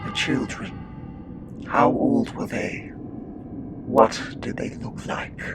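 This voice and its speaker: A man playing a calm, probing questioner in an audio drama, measured and low-key. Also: lightly acted. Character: calm probing male questioner drawing out a prisoner's story. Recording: loud noisy ambience.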